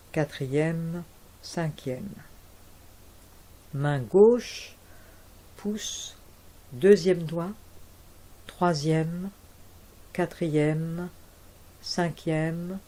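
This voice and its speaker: Calm lady doing guided meditation, super slow w long pauses, relaxed dark-ish voice. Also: lowish voice, poor audio. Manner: calm, measured instructions with deliberate pauses. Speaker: yoga nidra instructor.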